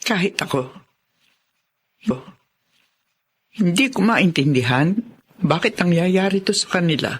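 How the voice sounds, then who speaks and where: A woman playing a remorseful older mother in a radio drama, speaking huskily in a heavy-hearted, halting way. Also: lowish voice, animated, husky. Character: remorseful older mother blaming herself for family tragedy.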